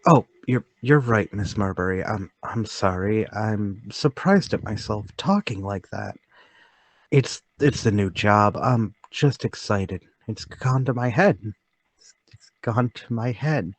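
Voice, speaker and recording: A man playing a timid, polite, secretly excited lodger in a radio-play table read, speaking hesitantly and repeating himself. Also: lowish voice, takes it slowly, no room sound, exaggerated voice. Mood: flustered.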